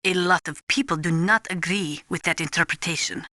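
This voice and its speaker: A woman playing an earnest nuclear weapons analyst in a video game, explaining things in a measured, precise, didactic way. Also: fairly low pitch, pushed and bright, no room sound, studio quality. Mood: measured, informative. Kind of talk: scripted expository video game voice acting.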